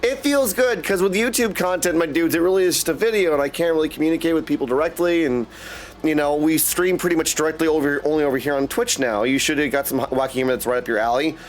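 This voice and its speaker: A man's voice: fairly high pitch, fast, faint music behind. A man hosting a casual livestream, chatting effusively with viewers, often exclaiming and calling them dudes. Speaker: live streamer and host.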